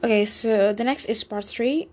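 A young woman with an Indonesian accent, reading practice speaking-exam questions aloud and answering them in English, halting often with fillers and restarts. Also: fairly high pitch, a little mellow. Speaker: student taking a speaking exam.

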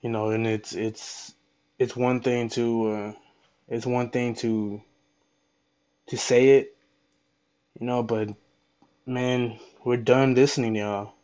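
A man hosting a solo podcast, speaking off the cuff in an impassioned, halting way and repeating his phrases. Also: mid-range voice, flat delivery, dark tone, smooth, highs cut off. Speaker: podcast host. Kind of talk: unscripted solo podcast monologue.

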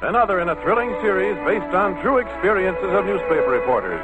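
High-pitched, dramatic vintage radio announcer man. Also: animated, a little fast, loud music behind the voice. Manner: dramatic, declamatory. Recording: dull vintage radio recording.

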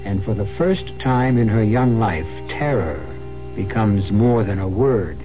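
Ominous American radio host over music. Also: male voice, lowish voice. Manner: deliberate, ominous, formal. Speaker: host and narrator. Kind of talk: dramatic scripted suspense narration. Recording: muffled old radio recording over music.

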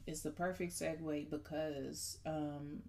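A woman's voice: low voice, flat delivery, slow pace, warm tone, soft background music. Chatty Midwestern woman hosting a podcast. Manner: chatty, playful, talks straight to listeners. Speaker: podcast host. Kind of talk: casual conversational podcast.

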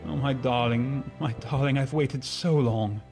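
An actor playing an ardent, tender and courtly gentleman suitor in a formal 1940s radio romance style. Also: mid-range voice, a little slow, close to an everyday voice. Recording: string music under the voice.

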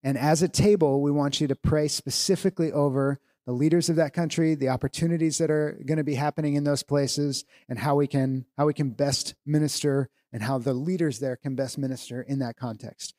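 A man with a somewhat high, mellow voice leads a church gathering. He hands out instructions to tables of people in a relaxed, joking way. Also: fairly quick, hardly any pauses. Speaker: church leader. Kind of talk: leading a church family meeting. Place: meeting hall with tables of people.

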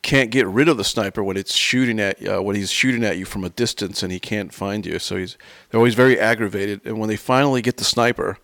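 A man's voice: on the low side, fast, a bit bright, no echo, clean studio sound. An American man hosting a casual interview, somewhat hesitant, often repeating and rephrasing himself as he asks questions. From United States. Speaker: interviewer, host.

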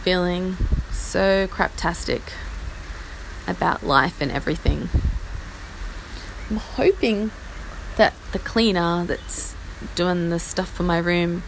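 An Australian woman in her forties, husky from a chest infection, rambling through a self-deprecating update from a small outdoor patio. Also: on the low side, slow pace, pauses often, slight background noise, low-quality recording. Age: 40s. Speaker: podcast host. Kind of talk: casual personal update. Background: faint rain.

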